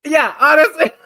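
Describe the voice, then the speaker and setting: A man's voice: extremely high pitch, sharp tone. A man who grew up in Nepal, a guest on a podcast, chatting casually and teasing with frequent laughter. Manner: giggly, teasing, bursts of laughter.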